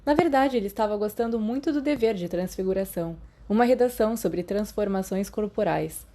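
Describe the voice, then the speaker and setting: Brazilian woman reading a story out loud, soft dark mellow tone, mid pitch, little slips here and there. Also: brisk. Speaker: audiobook narrator. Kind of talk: scripted fiction narration.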